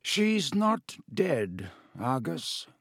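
Male reader doing a gentle but firm old headmaster for a storybook, quiet and unhurried. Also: medium pitch, dry recording, clean studio sound, heavily acted. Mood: calm. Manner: soft, slow and calm.

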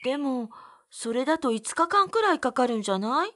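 Woman acting a shy, high-voiced young woman. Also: sing-song, a little fast, a bit bright, slight background noise. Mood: hesitant, pondering. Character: shy, gentle young woman hiker who loves plants. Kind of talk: Japanese audio drama acting.

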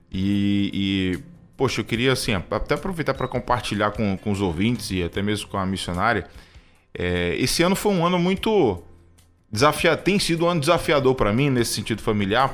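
A man in his thirties talks in an earnest, halting way, often restarting his sentences. His voice rises and falls a great deal. Faint music plays behind him. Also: mid-range voice. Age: 30s. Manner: hesitant, many restarts and fillers, earnest. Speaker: radio program co-host.

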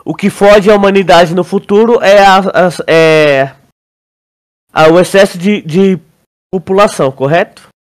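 A Brazilian man with a higher-pitched voice talks as a podcast co-host. His style is casual and full of slang, and he rambles as he works through his theories. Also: measured pace. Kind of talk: casual chat, theorizing about a series.

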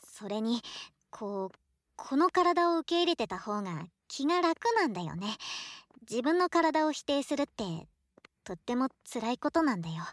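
A woman playing a shy, affectionate young student, a boy turned into a girl, talking softly to a close friend in a voice drama. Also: fairly high pitch, big pitch swings, normal speed, dry recording. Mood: wistful, sincere. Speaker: voice actor in a situation voice drama. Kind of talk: Japanese situation voice drama acting, intimate one-sided dialogue.

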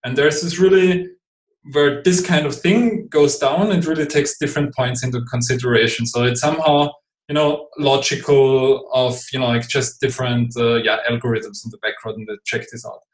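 A man from Austria speaks English with an Austrian German accent, his native German clear in the vowels. He explains at an even middle pitch, hesitant, with frequent fillers and restarts. The recording carries a noticeably roomy echo. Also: normal speed. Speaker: guest expert. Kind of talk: podcast interview.

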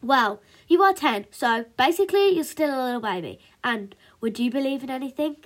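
A woman's voice: high voice, expressive, a little fast. Woman doing a snappy, scornful teenage girl. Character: sullen, scornful teenage girl, dismissive big sister.

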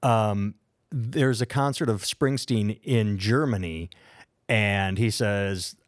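A man's voice: fairly low pitch, slow pace, dry recording, clean studio sound. An American man co-hosting a podcast, telling stories in a rambling, enthusiastic way. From United States. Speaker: podcast co-host. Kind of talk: casual podcast chat.

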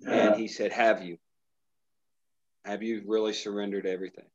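Middle-aged male pastor, laid-back storyteller with lots of restarts, lower voice, muffled recording. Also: little pitch movement, normal speed. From Indiana, USA. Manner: rambling storyteller, frequent restarts. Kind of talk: casual interview conversation.

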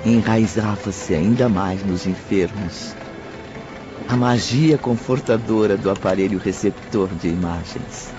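A man's voice: on the low side, a little slow. A man with a Brazilian accent gives solemn, literary narration for a radio drama. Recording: muffled radio production, music underneath.

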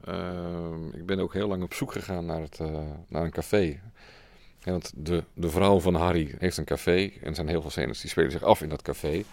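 Very low, creaky-voiced man. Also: fairly even intonation, normal speed, a little mellow, dry recording. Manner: hesitant, informal, imitates sounds. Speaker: radio drama sound designer. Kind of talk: casual interview answers. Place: studio.

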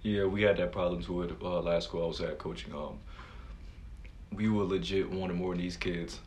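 A man with a very deep voice chats as an interviewer who also coaches. His delivery is informal and blunt, and he swears casually. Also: fairly even intonation, normal speed, darkish tone, a little hiss or hum. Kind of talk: casual chat.

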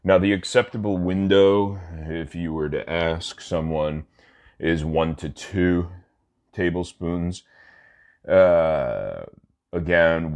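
A man with an extremely low, deep voice hosts a laid-back solo podcast. His nose is stuffed up from allergies. He drifts along with lots of "uh"s and corrects himself as he goes. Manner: rambling, many "uh" fillers and self-corrections; relaxed, smoking while talking. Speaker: podcast host. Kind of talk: casual solo podcast talk.

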